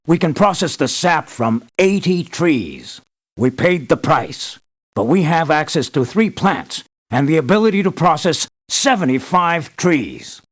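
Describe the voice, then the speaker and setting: Earnest, lively-pitched male mission leader. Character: earnest, measured male leader financing an island operation. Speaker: mission briefer. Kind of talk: scripted video game voice acting.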